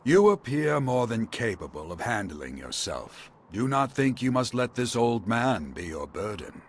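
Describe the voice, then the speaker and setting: A man playing a courtly, wistful old mentor in a video game cutscene, speaking in formal, archaic, measured phrases. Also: mid-range voice, very animated, slightly rough, full character voice. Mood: grave. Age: older. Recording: loud music behind the voice.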